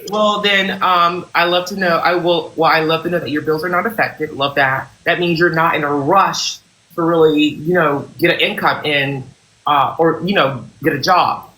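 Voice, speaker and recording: A woman's voice: sits low, moderate pace, sharp tone. A woman hosting a livestream as a mock CEO, interviewing candidates in a pompous, mock-serious manner over a phone call. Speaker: host playing a CEO. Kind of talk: improvised comic roleplay interview.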